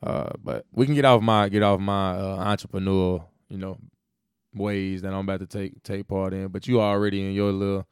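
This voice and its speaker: A young man, hoarse, hosting a loose, rambling, teasing podcast chat. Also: low-pitched, little pitch movement, normal speed, no room sound, studio quality. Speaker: podcast host.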